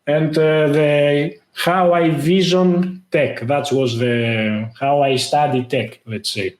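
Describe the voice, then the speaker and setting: A man talks casually as a guest, stopping often to hunt for words. His voice sits a little high for a man. The sound bounces around an echoey room. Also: steady tone. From Greece. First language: Greek. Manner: halting, lots of "uh" fillers. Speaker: guest, tech YouTuber. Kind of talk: casual interview talk.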